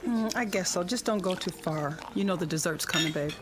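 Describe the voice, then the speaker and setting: A woman's voice: fairly low pitch, a little fast, heavy background noise, natural voice. An American actress playing an affectionate, gracious wife and mother in a radio drama. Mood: indulgent.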